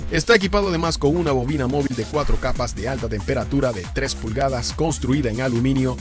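Guy reading off subwoofer specs and prices, scripted voiceover, music going under it. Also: mid-range voice. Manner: reads spec lists and prices in an even explaining tone. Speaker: car audio channel host. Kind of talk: scripted product narration. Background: background music.

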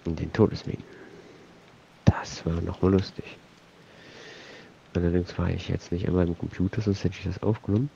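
Gravelly low-voiced man with a cold. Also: steady tone, normal speed, long pauses, mellow, dull audio, a little hiss or hum. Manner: rambling, muttering to himself. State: has a cold. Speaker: let's play host. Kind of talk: casual gameplay commentary.

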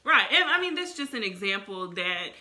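An American woman in her twenties in relaxed, casual conversation as a vodcast co-host. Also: mid-range voice, moderate pace. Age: 20s. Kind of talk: casual chat. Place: living room couch.

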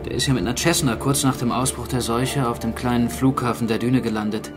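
An actor playing a gruff, wry stranded archaeologist in a naturalistic radio drama, brusque and matter-of-fact. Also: male voice, mid-range voice, fairly even intonation, fairly quick, music in the background, lightly acted. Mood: grave, explaining.

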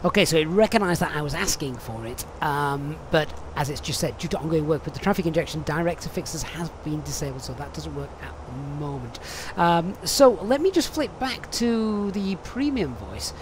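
A man's voice: on the high side, animated, normal speed, few pauses, noisy background. Chatty male flight sim streamer. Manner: chatty, reads viewer questions aloud with many fillers. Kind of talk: live stream commentary.

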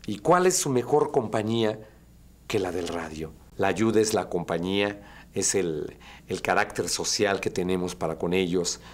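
A man's voice: sits low, moderate pace. A middle-aged man with a Mexican accent speaking earnestly and emphatically in an interview. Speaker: radio host. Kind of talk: documentary interview. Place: furnished indoor room. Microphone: lapel mic.

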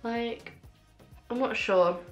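A young woman reviewing makeup in a casual vlog, excitable and full of fillers, her voice a little rough from a cold and sore throat. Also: a little high, slow pace. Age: young adult. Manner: gushing, excitable, many fillers. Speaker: beauty vlogger. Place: small room at home, indoors. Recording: echoey room with faint music.

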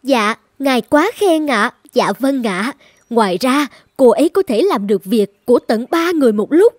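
Woman playing a high-pitched, fawning matchmaker. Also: lively intonation, moderate pace, darkish tone, no echo, clean studio sound. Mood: flattering. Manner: deferential, coaxing salesmanship. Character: obsequious, smooth-talking female matchmaker. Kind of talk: animated folk-tale dubbing.

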